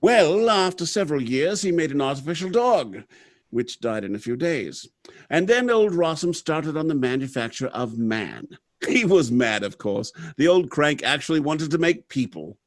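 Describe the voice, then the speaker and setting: A man's voice: a little high, lively intonation, normal speed, natural voice. An actor playing a proud, unsentimental robot factory manager in an old-time radio drama, theatrical and confident as he explains things. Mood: amused, lecturing. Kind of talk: live recreation of 1930s radio drama.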